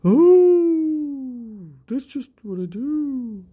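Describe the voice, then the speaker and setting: Storyteller putting on a whiny ghost guy, high and oooh-y, kinda sulky, lively swoops. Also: heavily acted. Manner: high drawn-out moaning voice. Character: wailing male ghost, sulky but harmless.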